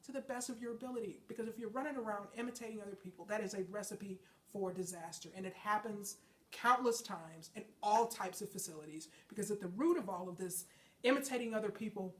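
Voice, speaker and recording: A woman's voice: mid-range voice, distant mic. A woman fitness trainer giving an emphatic motivational talk, congested from a chest and sinus infection, often checking in with her listeners. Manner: emphatic, preachy, keeps checking in with "okay?" and "folks".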